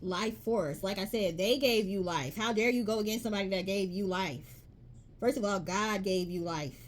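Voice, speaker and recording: A woman's voice: medium pitch, normal speed. A woman giving a casual tarot reading to her viewers, chatty and emphatic, reading card messages aloud. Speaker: tarot reader.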